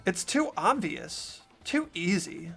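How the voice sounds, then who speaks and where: An earnest young detective, acted by a performer in a fan-voiced game drama. The voice is mid-pitched and very lively, rising and falling as he presses for the truth. Light music plays behind. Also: takes it slowly, gravelly, heavily acted. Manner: earnest, inquisitive.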